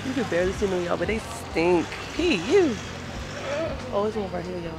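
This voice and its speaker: A woman co-hosting a travel vlog outdoors, playful and relaxed as she talks to viewers. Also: fairly low pitch, normal speed, very noisy. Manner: laid-back, playful, addresses viewers. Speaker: vlog co-host. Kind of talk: casual travel vlog chat. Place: outdoors near horses. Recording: loud music and crowd behind.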